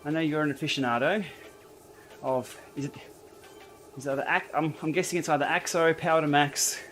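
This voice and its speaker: A man's voice: fairly high pitch, fairly even intonation, a little fast, a bit bright, a little hiss or hum. Chatty man riding and answering comments. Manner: chatty, answers viewer comments while cycling. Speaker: livestream host. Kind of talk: casual live chat while riding. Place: indoor training room.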